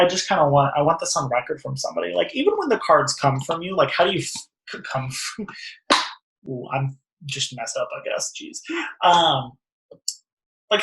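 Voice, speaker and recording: A young woman hosting a casual tarot reading, chatting in an animated, slangy, teasing and emphatic way. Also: fairly low pitch, roomy sound, rough recording. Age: young adult. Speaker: host and tarot reader.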